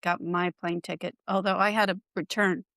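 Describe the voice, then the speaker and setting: A woman's voice: fairly low pitch, little pitch movement, moderate pace, a bit bright, dry recording. A woman with an American accent hosting a casual interview podcast, affirming and encouraging her guest. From United States. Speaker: podcast host.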